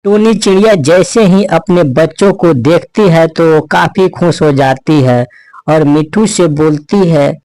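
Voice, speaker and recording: A man's voice: fairly high pitch, a little slow, a little mellow, no room sound, poor audio. A man narrating a scripted children's animal story as the storyteller.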